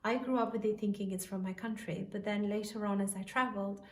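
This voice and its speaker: A woman's voice: mid-range voice, steady tone, fairly quick, a little mellow. A woman from Albania with an Albanian accent, a musician informally introducing her own performance. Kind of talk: informal spoken introduction to camera. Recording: roomy echo, distant mic.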